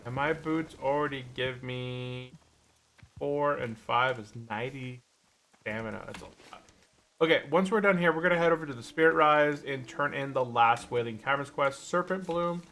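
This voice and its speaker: A man's voice: medium pitch, normal speed, a bit bright, slight background noise. A man chatting casually on a gaming livestream, rambling and thinking aloud as he plays. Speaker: livestream host, gamer.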